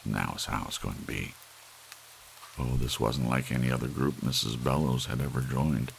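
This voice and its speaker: A man's voice: very deep voice, moderate pace, creaky, low-quality recording. A man reading a story aloud as an audiobook narrator, in an ASMR bedtime style. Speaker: audiobook reader. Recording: faint hiss, soft rain sound. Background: soft rain.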